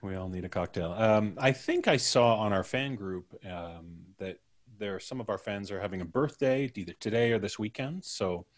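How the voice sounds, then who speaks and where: Low-voiced man hosting a casual chat. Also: animated, normal speed, few pauses. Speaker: podcast host. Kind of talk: casual after-show livestream chat.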